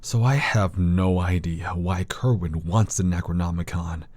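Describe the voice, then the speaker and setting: A man playing a grave, guarded university scholar in an audio drama, speaking in a measured, halting way. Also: sits low, darkish tone, no echo, clean studio sound. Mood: guarded, concerned. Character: grave, learned male university doctor guarding occult secrets, guarded.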